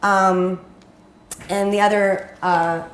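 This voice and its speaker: A woman's voice: medium pitch, level tone, slow, roomy sound, a little hiss or hum. A woman from New York hosting a talk show, speaking earnestly and encouragingly in casual chat.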